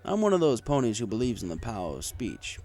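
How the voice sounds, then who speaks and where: Male audiobook narrator, low pitch, animated delivery, first-person bartender storytelling over music. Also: fast. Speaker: audiobook reader.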